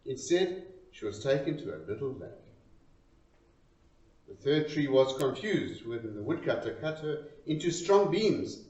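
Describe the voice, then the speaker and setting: A man reciting a storytelling poem in a dramatic, expressive and deliberate way, pausing between short phrases. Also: mid-range voice. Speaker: reader of a poem. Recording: roomy, distant, dull lo-fi sound.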